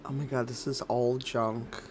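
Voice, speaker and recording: A man's voice: mid-range voice, flat delivery, measured pace, a little hiss or hum. A man streaming a game, congested and coughing, making wry grumbling asides. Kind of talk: casual gameplay commentary.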